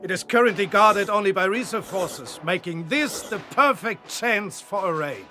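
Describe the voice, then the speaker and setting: A determined officer in a strategy game. His voice sits high for a man, with a stern, commanding delivery. Dramatic music runs behind his orders. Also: animated, normal speed, sharp tone. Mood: measured, resolute. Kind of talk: military mission briefing in a strategy game.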